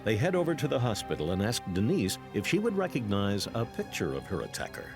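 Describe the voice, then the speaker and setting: A man's voice: lowish voice, wildly swooping pitch, brisk, over music. A man with an American accent reading a scripted true-crime documentary narration.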